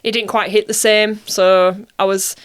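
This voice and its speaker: A woman's voice: mid-range voice, little pitch movement, a little slow, clean tone. A woman, an actress being interviewed, talking informally and effusively in casual conversation.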